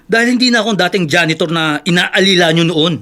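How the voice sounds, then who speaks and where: An actor playing a proud, hot-tempered former janitor in a radio drama, emphatic and defiant. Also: male voice, high voice, quick pace, sharp tone. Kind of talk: Tagalog radio drama acting.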